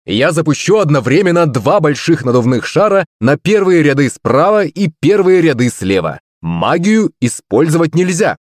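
Man acting excitable male show host, much higher pitch, swooping intonation, showy, proclaiming, audiobook character. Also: moderate pace, no echo, studio quality.

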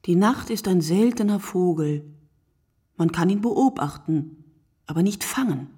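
A woman playing a reflective, poetic narrator in a literary radio play, speaking in a deliberate, declamatory way. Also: on the low side, lively intonation, dark tone, breathy edge. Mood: quiet, musing. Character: reflective, poetic female narrator musing on sleep and night.

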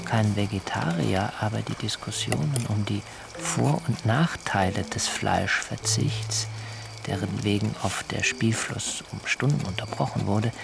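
A man playing an earnest, shy young idealist and sun worshipper in a German radio drama, speaking in formal, high-flown phrases. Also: a little slow, gravelly, over music. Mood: earnest enthusiasm. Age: young adult.

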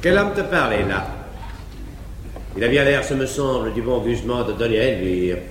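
An actor playing a cynical aristocratic seducer, speaking in elegant, measured declamation on a 1950s live stage. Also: male voice, mid-range voice, expressive, background noise, natural voice. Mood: questioning. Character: cynical aristocratic male seducer, haughty and smooth. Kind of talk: classical stage acting. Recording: echoey 1950s live theatre recording, reverberant hall.

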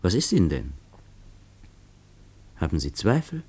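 A man's voice: deep voice, wildly swooping pitch, measured pace, dark tone, slightly rough, full character voice. An actor playing a smug male revolutionary leader in a radio drama, with a clipped, probing, condescending manner. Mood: probing, pressing. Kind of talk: German radio play acting.

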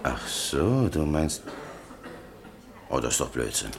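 An actor playing a blunt, impatient defender in a German radio crime drama, with a gravelly voice. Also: male voice, a bass voice, very animated, slow, heavily acted. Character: blunt, impatient older-style defender, exasperated with his workmates.

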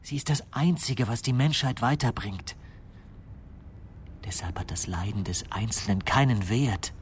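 An actor playing an obsessed, bitter idealist confessing to murder in a radio play, with an intense, fervent delivery. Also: male voice, on the low side, a little slow, slight background noise, natural voice. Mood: resigned conviction. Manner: intense, fervent, swinging from hushed to raised voice. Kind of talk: 1990s German radio play acting.

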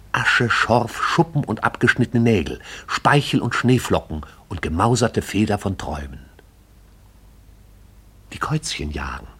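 An actor playing the lyrical storyteller of a radio play, addressing the listener intimately in an incantatory, poetic way. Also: male voice, on the low side, husky, heavily acted. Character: hushed, lyrical omniscient storyteller of a sleeping town. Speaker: narrator.

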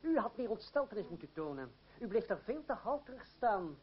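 Shrewd young player, lively delivery. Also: male voice, high voice, brisk, breathy edge, full character voice. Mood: mocking. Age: young adult. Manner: mocking, assured, persuasive. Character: shrewd, sardonic young male actor, coolly domineering. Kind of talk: radio play acting. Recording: dull, noisy old radio play recording.

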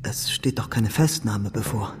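A man's voice: lowish voice, measured pace, husky. A man playing an anxious, bitter, timid tutor in a radio crime drama, hesitant and aggrieved. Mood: nervous, aggrieved. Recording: reverberant hall, music underneath.

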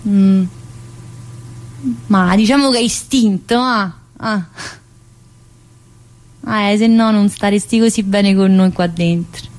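A woman's voice: mid-range voice, slow, pauses often, a little mellow, close to an everyday voice, poor audio. A woman performing a theatrical monologue as a chatty, bossy, needy prisoner, with a colloquial, teasing manner. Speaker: actor in a solo stage monologue.

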